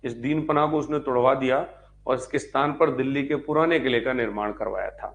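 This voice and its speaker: A man's voice: mid-range voice, brisk, creaky. A man teaching history in a live exam-prep lecture, repeating phrases for note-taking and answering his own questions.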